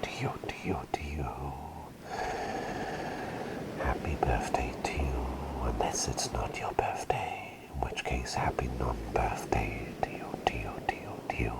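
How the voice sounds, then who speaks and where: A man playing a gentle, doting plague doctor in a whispered ASMR roleplay, soothing and tenderly repeating his phrases in a breathy voice. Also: measured pace, exaggerated voice. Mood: tender, celebratory. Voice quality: breathy whisper with creaky low undertone.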